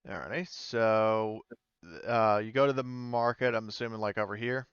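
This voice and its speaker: A man's voice: on the low side, moderate pace, fairly bright tone, smooth, dry recording, muffled recording. A man narrating an improvised tabletop game as game master, tentative and often thinking aloud. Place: indoors, online voice call.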